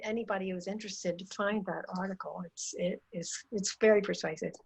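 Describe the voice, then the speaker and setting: A woman giving informal remarks on a video call, haltingly, often repeating and restarting as she makes her point. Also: mid-range voice, steady tone, creaky, a little hiss or hum. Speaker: participant, former teacher.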